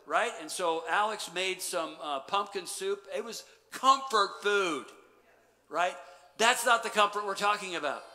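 A middle-aged man preaches from the stage of a church sanctuary, his voice bright and a little high. He moves between animated storytelling and quiet, intimate emphasis. The long church reverb trails after each phrase. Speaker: pastor. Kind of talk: sermon.

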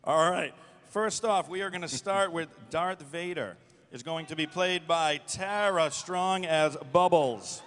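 Male panel host, high voice, energetic, projected, big echoing hall, live announcing. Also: measured pace, slight background noise. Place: large convention hall stage. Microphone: handheld microphone. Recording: reverberant hall sound system.

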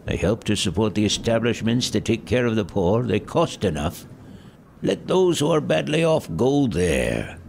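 An actor playing an elderly, irritable miser in an audio drama, gravelly and curt with scornful grumbling. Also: male voice, lowish voice, big pitch swings, normal speed, a little mellow, a little hiss or hum. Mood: curt, dismissive.

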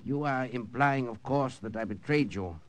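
An actor playing a formal, guarded German officer with a German accent in an old-time radio drama. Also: male voice, medium pitch, normal speed, close to an everyday voice. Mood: guarded, uneasy.

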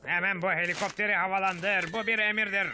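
Very high-pitched, aggressive cartoon commander. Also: male voice, fairly even intonation, quick pace, slight background noise. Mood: commanding. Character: aggressive male cartoon military commander barking orders. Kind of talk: animated cartoon dub. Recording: loud music behind the voice.

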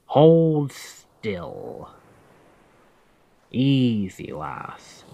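A man playing a stern, commanding pirate captain with gruff protectiveness in an ASMR roleplay, speaking deliberately and with authority. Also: huge pitch swings, mellow, full character voice. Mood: gruff, firm. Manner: slow, deliberate, authoritative, phrases trailing low.

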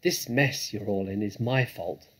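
A suave father fox from a children's tale, performed by a male reader. He speaks with courteous, sly confidence in a husky voice, reassuring and theatrical. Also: on the low side, fairly bright tone. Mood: contrite. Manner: courteous, deliberate, slyly theatrical.

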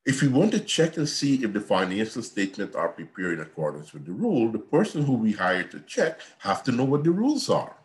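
A man with a low voice lectures students over a video call. He explains in an earnest, repetitive teacherly way, as if from a home office. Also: sing-song, brisk, hardly any pauses. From Guyana. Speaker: accounting professor. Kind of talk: online university lecture.

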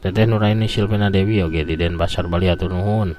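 An older man with a Sundanese accent, a folk tale storyteller and host speaking in an expressive, folksy, conversational way. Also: low voice, quick pace. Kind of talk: expressive storytelling and reading out listener messages.

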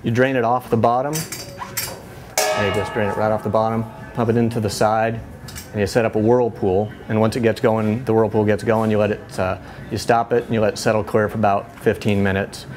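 A man in his thirties, a head brewer giving a casual tour of his small brewery, relaxed and full of fillers and restarts. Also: lowish voice, brisk, noisy background. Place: small brewery inside a bar, among steel tanks. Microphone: lapel mic.

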